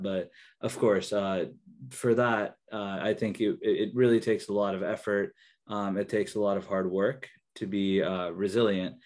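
A man's voice: fairly low pitch, darkish tone. A young man with Kannada as his first language, a guest on a video call interview, talking in a hesitant way full of fillers and restarts. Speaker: interview guest, researcher and therapist.

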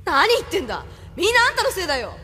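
Brash, fast-talking young woman. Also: very high-pitched, huge pitch swings, full character voice. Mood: angry. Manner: sharp-tongued, accusing, informal. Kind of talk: Japanese audio drama acting.

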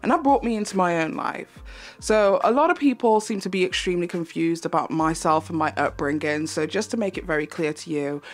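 A woman's voice: lowish voice, faint music behind. Young English woman with handheld mic. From England. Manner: measured, explanatory. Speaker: YouTube commentator. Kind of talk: commentary think piece to camera. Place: bedroom.

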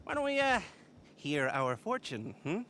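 An actor playing a grumpy, sarcastic middle-aged hacker who has retired from heists. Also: male voice, fairly high pitch, wildly swooping pitch, a bit bright, a little hiss or hum, heavily acted. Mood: amused. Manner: whiny, sarcastic, quick to complain.

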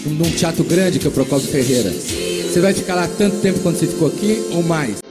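Brazilian man, slightly high-pitched panelist. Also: lively intonation, quick pace, loud music behind the voice. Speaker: panelist asking a question. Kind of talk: informal TV question.

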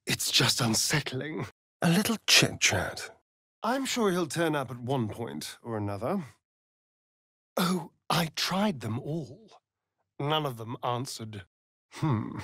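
An actor playing a sardonic, flamboyant, seductive vampire rogue in a fantasy video game, with an arch, theatrical drawl. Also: male voice, mid-range voice, sing-song, slow pace, pauses often, fairly bright tone, slightly rough, dry recording, studio quality, exaggerated voice. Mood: disdainful, wry.

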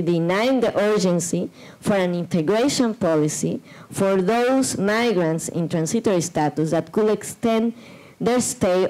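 Woman reading an academic paper, halting. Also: fairly low pitch, warm tone, poor audio. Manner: measured reading with hesitant fillers and restarts. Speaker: conference panelist. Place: large lecture room. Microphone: desk microphone.

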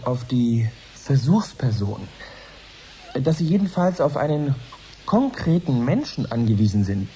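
An actor playing a courteous radio science reporter, presenting a live broadcast in a formal, measured way. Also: male voice, medium pitch, dark tone. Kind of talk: radio play styled as live news broadcast. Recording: noisy 1980s radio recording.

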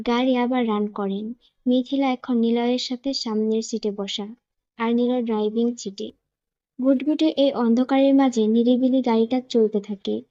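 A woman's voice: fairly high pitch, mellow, muffled recording. A woman narrator reading a scripted romance story.

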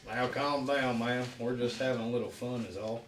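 A man reads a laconic backwoods kidnapper with a mid-pitched voice. He is casually menacing, far off in a noisy, echoey room. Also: steady tone, moderate pace, natural voice. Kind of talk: script table read.

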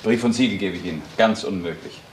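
A low-pitched man acting as a company manager in a crime radio play. He speaks formally and with firm assurance over faint noise. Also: fast, lightly acted. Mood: assured.

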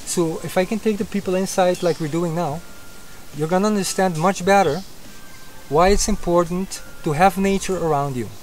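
A middle-aged man with a Curaçaoan accent speaks as a local guide, explaining in an earnest, deliberate way. His voice sits fairly high for a man. It is recorded on location, with music playing behind him. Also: rough recording. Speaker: kayak guide and conservationist. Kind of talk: informal explaining to camera. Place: moving car and outdoor mangrove shore.

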